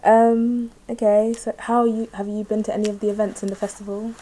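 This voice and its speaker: A woman's voice: medium pitch, level tone, brisk, mellow, rough recording. A woman interviewing a guest, asking questions tentatively with small laughs. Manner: tentative, giggly.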